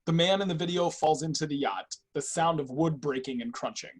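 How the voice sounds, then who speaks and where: A man's voice: a little high, brisk, husky. A man reading stage directions as scripted narration for a play on a video call.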